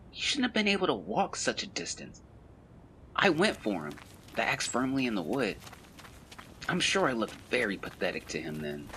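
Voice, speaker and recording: Man acting a grief-stricken, solemn young man, expressive pitch. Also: slight background noise, low-quality recording. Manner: solemn, confessional, literary phrasing. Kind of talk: audio drama monologue, letter reading.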